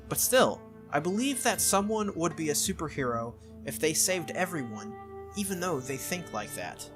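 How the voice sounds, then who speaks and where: A man reading a visual novel's narration aloud in an earnest, steady way for a playthrough. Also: a little high. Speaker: let's-play host. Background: faint game music.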